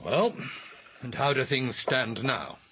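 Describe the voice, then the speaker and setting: An actor playing a brusque, assertive intelligence official in a radio drama, brisk and argumentative. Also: male voice, medium pitch, animated, pushed and bright, a little hiss or hum, exaggerated voice.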